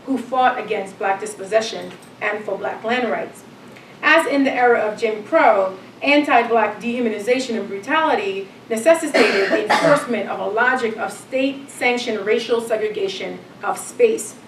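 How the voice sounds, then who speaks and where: A middle-aged woman from Jamaica giving an academic lecture, reading in a measured way with frequent ums. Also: mid-range voice, reverberant, distant mic, slight background noise, poor audio. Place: lecture hall.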